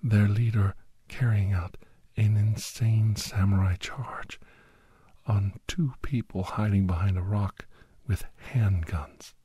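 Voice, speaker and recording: A man playing a grave, anxious doctor and old soldier telling a battle story in hushed, halting, breathy ASMR narration that often drops into whispers. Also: fairly low pitch, steady tone, no room sound, full character voice. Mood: grave, regretful. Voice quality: breathy, husky. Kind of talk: ASMR first-person dramatic story narration.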